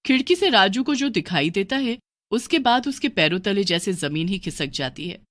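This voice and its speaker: A woman's voice: fairly low pitch, no room sound. A woman narrating a scripted horror story for a cartoon, in a storyteller's style.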